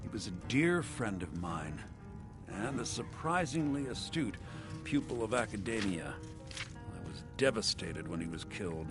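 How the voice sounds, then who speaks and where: An actor playing a refined, courteous scholar in a fantasy game, articulate and theatrical. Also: male voice, lowish voice, big pitch swings, measured pace, music in the background. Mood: wistful.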